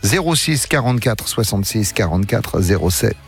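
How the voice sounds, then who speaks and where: A man's voice: fairly low pitch, animated, normal speed, creaky, over music. A man hosting a morning radio show, chatting playfully with callers and joking around in a studio. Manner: joking, teasing, upbeat. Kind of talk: lively radio chat with callers. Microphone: studio microphone.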